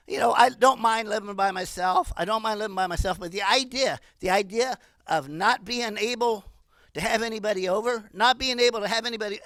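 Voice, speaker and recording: A woman in her sixties performing a stand-up comedy monologue in an animated, rambling way. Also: medium pitch, no echo, studio quality. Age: 60s.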